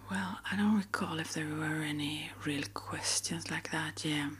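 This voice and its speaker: A woman speaking in a gentle, hesitant, rambling way, as an ASMR creator chatting to her viewers. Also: low voice, fairly even intonation, measured pace, slight background noise. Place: small upstairs apartment.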